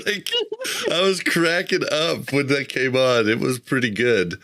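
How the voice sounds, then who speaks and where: A man's voice: medium pitch, expressive, unhurried, fairly bright tone. A man hosting a casual gaming podcast, easygoing and laughing along with his guest. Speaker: podcast host.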